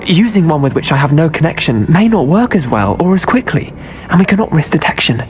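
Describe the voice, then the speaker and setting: A man playing a weary but gracious captive wizard in a video game, speaking formally and in measured phrases. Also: fairly high pitch, very animated. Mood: weary, urgent. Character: weary, grateful adult male wizard captive, dignified and gracious. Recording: music behind the voice.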